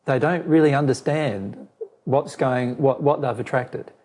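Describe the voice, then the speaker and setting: A man whose voice keeps giving out, so it comes out husky and rough. His tone is dark and mellow, and he lays out his reading of a question in a measured way, often restarting a phrase. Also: mid-range voice. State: losing his voice, hoarse. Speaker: spiritual teacher answering a question. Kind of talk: explaining to a listener.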